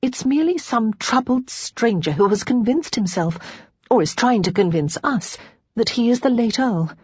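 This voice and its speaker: A woman voicing a gentle, earnest young widowed lady of rank in an audiobook. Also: fairly high pitch, expressive, normal speed, husky, no echo, voice pushed up. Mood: composed, rueful. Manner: gentle, grave, genteel. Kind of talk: audiobook character dialogue.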